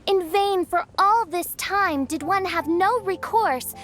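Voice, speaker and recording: A woman's voice: extremely high pitch, takes it slowly, a bit bright, soft background music, full character voice. A woman voicing a haughty, theatrical teenage girl who poses as a princess in a video game, speaking in pompous, archaic phrases. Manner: pompous, archaic, grandiose diction.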